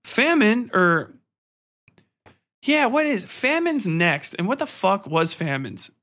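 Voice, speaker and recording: A man's voice: sits high, big pitch swings, takes it slowly, bright, forceful tone. A man hosting a podcast in a casual, profanity-laced review style, blunt, exasperated and sarcastic. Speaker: podcast host. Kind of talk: casual ranting film review.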